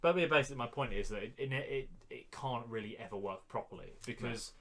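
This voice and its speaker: A man's voice: mid-range voice, quick pace, a bit bright. A man co-hosting a podcast, giving blunt, dismissive opinions in a casual debate. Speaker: podcast co-host.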